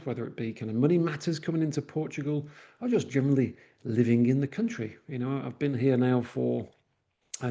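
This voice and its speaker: A man's voice: mid-range voice, warm tone, lo-fi sound. A man from northwest England with a Manchester accent, giving a webinar presentation with frequent uhs and restarts. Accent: Mancunian.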